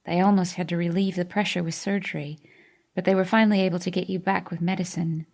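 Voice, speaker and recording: A woman voicing a worried young man who murmurs softly, his voice strained with emotion. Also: fairly low pitch, steady tone, quick pace. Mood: tender.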